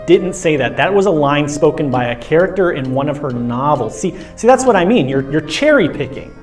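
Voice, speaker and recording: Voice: mid-range voice, animated, fairly quick, distant mic, faint music behind, natural voice. A performer voicing an exasperated but reasonable feminist puppet in a scripted comedy debate, patiently explaining.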